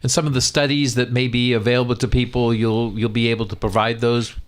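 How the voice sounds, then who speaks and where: A man's voice: medium pitch, level tone, a little fast. A man interviewing conversationally on talk radio, often restarting and circling around his phrasing.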